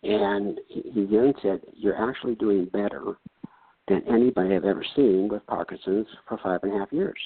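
A man's voice: lowish voice, moderate pace, slightly rough, dry recording. A man in his seventies from North Dakota, a retired doctor telling a personal story in a folksy, earnest way and acting out the things people said to him. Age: 70s. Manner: plain storytelling, folksy and earnest, acts out quoted exchanges. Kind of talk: personal story, casual talk. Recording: tinny phone-like line, dry.

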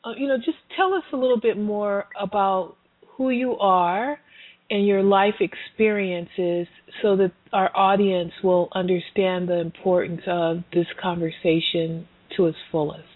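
A woman's voice: medium pitch, steady tone, unhurried, no echo. A woman hosting a radio interview, asking long questions that ramble and stumble as she searches for words. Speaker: radio host. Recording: dry tinny phone line.

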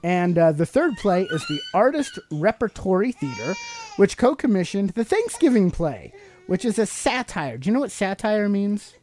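A man's voice: sits high, wildly swooping pitch, normal speed, darkish tone. A middle-aged man co-hosting a podcast, explaining things casually to a child in a teacherly way with leading questions. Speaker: podcast co-host and father explaining to his child.

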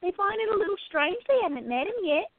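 A woman voicing a quiet, curious gallery worker in a radio play, chatty and gently prodding her friend. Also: very high voice, sing-song, quick pace, no echo, pitched above the performer's own voice, heavily acted. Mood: curious, gossipy. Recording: tinny, phone-like narrow sound.

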